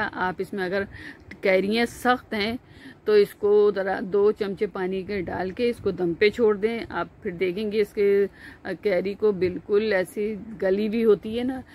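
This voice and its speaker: A woman with a Pakistani Urdu accent talks through a recipe as she cooks, in a calm, instructive way. Her voice sits at a medium pitch, with frequent "uh" fillers and restarts. She is recorded close on a phone in a home kitchen, with faint background noise. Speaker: cooking channel host. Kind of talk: step-by-step cooking narration.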